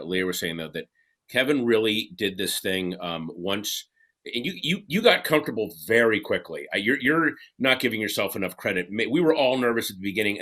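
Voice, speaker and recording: Male panelist: bright tone, casual, effusive, halting reminiscence. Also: fairly low pitch. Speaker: cast member on reunion panel.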